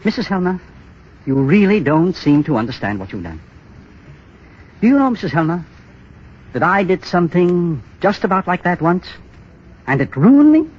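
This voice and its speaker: A menacing, desperate moneylender in a 1930s radio drama. His voice sits fairly high and swings widely as he presses his point with cold insinuation. The broadcast sounds dull and old. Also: very animated, moderate pace, pauses often. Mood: threatening. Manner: insistent, pointed, coldly insinuating. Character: desperate, menacing bank clerk and blackmailing moneylender.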